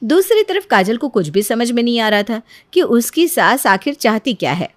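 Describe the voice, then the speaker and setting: A woman's voice: a little high, big pitch swings, moderate pace, no room sound. A woman narrating a scripted moral story as a storyteller.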